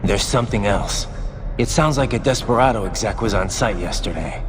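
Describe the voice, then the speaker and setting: An actor playing a grim, determined cyborg soldier hero in a video game, terse and intense. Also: male voice, animated, normal speed, over music, lightly acted. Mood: businesslike. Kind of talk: video game cutscene acting. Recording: clear background noise, vehicle-like rumble.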